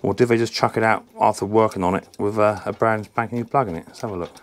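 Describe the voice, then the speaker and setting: A man hosting a casual lawnmower repair vlog from his workshop, his voice croaky from a sore throat, chatty and rambling with lots of ums. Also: on the low side, fairly even intonation, fast. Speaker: lawnmower repair channel host.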